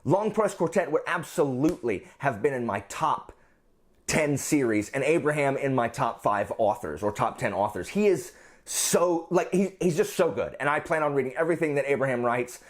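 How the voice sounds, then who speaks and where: A man's voice: mid-range voice, expressive, moderate pace. A man talking casually as a book vlogger, gushing and emphatic, often repeating phrases to drive a point home. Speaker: book reviewer and channel host. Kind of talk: casual chatty book vlog.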